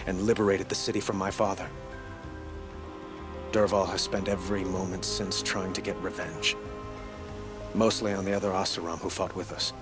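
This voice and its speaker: A man playing an earnest, troubled young king in a video game, speaking in a measured, courtly, formal way. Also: low voice, close to an everyday voice. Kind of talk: video game cutscene acting.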